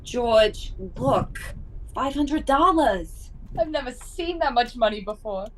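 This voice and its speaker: Woman playing a brash, high-voiced radio comedy gal. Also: expressive, takes it slowly, a little hiss or hum, poor audio. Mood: excited. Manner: slangy, streetwise, ungrammatical talk. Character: brash, excitable gal in a scrappy road-trip gang. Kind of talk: old-time radio comedy acting.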